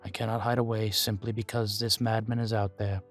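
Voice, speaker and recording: A man playing a guarded, world-weary vampire informant in an audio roleplay, speaking in formal, measured phrases, proud yet fond. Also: lowish voice, little pitch movement, lightly acted. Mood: reluctant, conceding. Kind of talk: scripted audio roleplay to the listener. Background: background music.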